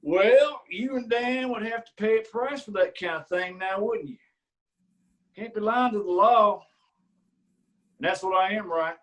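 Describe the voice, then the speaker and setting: A man playing a rural lawman in a staged reading, needling others with slow, threatening questions. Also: high voice, fairly bright tone. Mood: menacing. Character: menacing man who is the local law.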